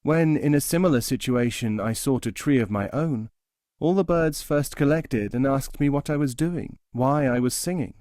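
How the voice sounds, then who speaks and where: A man plays a wise nightingale from a storybook fable, calm and knowing as it counsels. The speech is measured, recorded dry and close. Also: mid-range voice, no echo, lightly acted. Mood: knowing, advising. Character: worldly-wise, calm nightingale giving advice.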